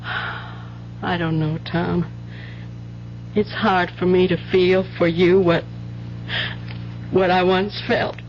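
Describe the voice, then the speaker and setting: A dull old radio drama recording with a faint hum. a weary American wife and mother, guarded and plain-spoken, in a low voice for a woman. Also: little pitch movement, slow pace, pauses often. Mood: hurt, wary. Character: weary, wary working-class wife and mother.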